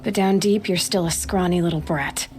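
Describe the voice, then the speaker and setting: An actress playing a proud, guarded young outcast huntress in a video game, sharp-tongued and self-assured. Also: female voice, lowish voice, steady tone, moderate pace, a little hiss or hum, lightly acted. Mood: defiant, scornful. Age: young adult.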